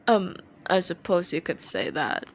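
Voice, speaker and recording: A woman's voice: fairly low pitch, animated, measured pace. A woman playing a short, hot-tempered young male rock singer with a curt, grumbling, dry manner in an audio story. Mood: defensive.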